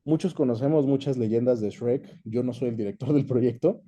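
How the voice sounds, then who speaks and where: A man with a Mexican accent giving measured, conversational explanations as an interview guest, often checking in with short tag questions. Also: medium pitch, warm tone, highs cut off. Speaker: interview guest, voice actor and dubbing director.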